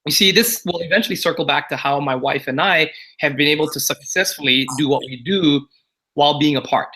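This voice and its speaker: A man in his forties, originally from Singapore, speaks as an interview guest with a bright, forward voice pitched a little high. He talks in a loose, confiding way, stacking "you know" and "right?" as he goes. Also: brisk, slight background noise, low-quality recording. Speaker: guest entrepreneur. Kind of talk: casual interview talk.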